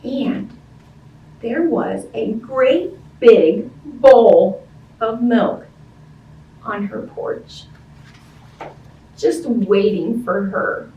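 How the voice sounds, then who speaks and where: Animated woman reading slowly in a roomy space. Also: fairly high pitch, lo-fi sound. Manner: expressive, simple child-directed speech with questions to listeners. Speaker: librarian hosting children's story time. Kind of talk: animated picture-book read-aloud and chat to young children. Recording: roomy, distant-sounding, faint background noise.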